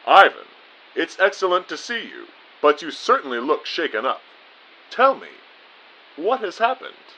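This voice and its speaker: A man's voice: a little high, sing-song, measured pace, bright, forceful tone, exaggerated voice, rough recording. A man playing a genteel, encouraging spy agency boss in an audio drama, with formal and reassuring wording. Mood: concerned.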